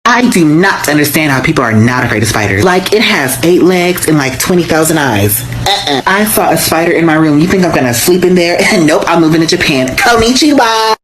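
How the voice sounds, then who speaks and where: A woman's voice: low voice, animated, fairly quick, low-quality recording. A woman talking to camera in a dramatic, joking way.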